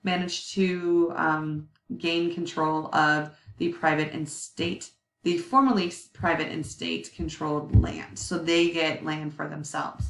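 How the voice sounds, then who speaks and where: A woman's voice: low voice, fairly even intonation, takes it slowly, gravelly, fairly bright tone. A woman in her thirties giving a recorded history lecture over slides, chatty and often correcting herself with ums. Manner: conversational, self-correcting, many ums. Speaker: history teacher. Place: indoor room. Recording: roomy, slightly distant webcam audio.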